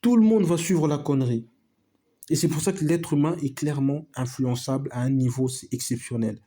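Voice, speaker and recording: A man's voice: medium pitch, fairly quick, mellow. A man giving a casual opinion monologue to his viewers, emphatic and repetitive, full of slang and asides to his friends. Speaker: video host.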